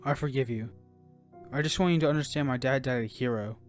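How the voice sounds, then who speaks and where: A man's voice: medium pitch, brisk, faint music behind, natural voice. A man acting in an amateur audio drama as an earnest, impulsive young fighter in a battle game. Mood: worried, sad. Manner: earnest, urgent.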